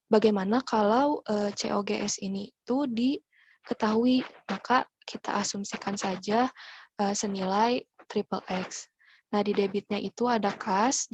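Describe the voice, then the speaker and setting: A woman's voice: mid-range voice, a little mellow, slight background noise. A young woman explaining material as a student presenter in a class presentation. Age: young adult.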